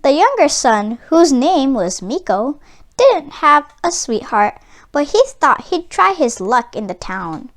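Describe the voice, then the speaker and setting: A woman narrates a children's storybook expressively. Her voice is high and swoops up and down, and she reads at an unhurried, slow pace. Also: very animated. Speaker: storyteller reading a children's book aloud.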